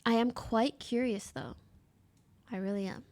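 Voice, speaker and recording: A woman's voice: a little high, steady tone, measured pace, a little mellow. A woman in her twenties from Canada, giving blunt, casual commentary on a stream and swearing now and then.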